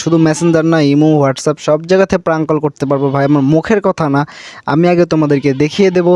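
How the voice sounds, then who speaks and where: A man's voice: on the high side, darkish tone, dry recording. A Bangladeshi man hosting an informal, unscripted app tutorial, repeating himself and often checking in with viewers in a familiar, brotherly way. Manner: repetitive, addresses viewers as brother, frequent check-in tags. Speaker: tutorial host.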